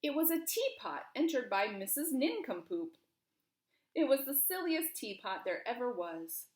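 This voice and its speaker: A woman's voice: a little high, sing-song, a little slow. A woman reading a children's storybook aloud expressively, with emphasis and questions put to young listeners. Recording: roomy, echoing room.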